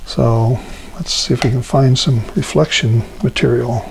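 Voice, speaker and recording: An older man demonstrating a watercolor painting as an instructor, talking himself through each step with frequent ums and pauses. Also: mid-range voice, vocal fry, a little hiss or hum, rough recording. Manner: relaxed, thinking aloud with many ums. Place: art studio at a painting table.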